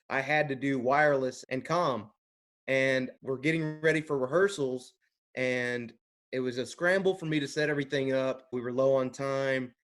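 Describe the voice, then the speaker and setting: A man who works as an audio technician, speaking conversationally on a training panel and drawing on his own experience. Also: mid-range voice. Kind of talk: conversational panel talk with anecdotes.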